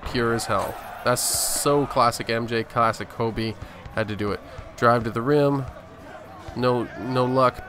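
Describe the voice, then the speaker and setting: Man commentating gameplay over background noise. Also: medium pitch. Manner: boastful, narrating each move. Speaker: gaming video host.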